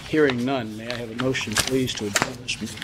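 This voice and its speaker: A man's voice: medium pitch, fairly even intonation, fast talker. An American man chairing a formal meeting, courteous and procedural, with hesitant phrasing. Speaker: board chairman. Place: large conference room with long table. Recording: loud background noise and clicks.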